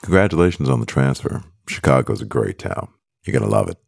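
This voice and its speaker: Man acting as CEO boyfriend, extremely low voice, heavy vocal fry, unhurried, composed and doting, ASMR audio roleplay. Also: expressive, darkish tone, no room sound, clean studio sound, full character voice. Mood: composed, businesslike. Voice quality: very deep, gravelly. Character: powerful male CEO boyfriend, composed, doting, gently teasing.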